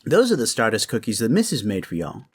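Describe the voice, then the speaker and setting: A man playing an earnest officer in a fantasy audio drama, with an expressive delivery. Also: on the low side, quick pace, husky, full character voice. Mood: soothing, reassuring.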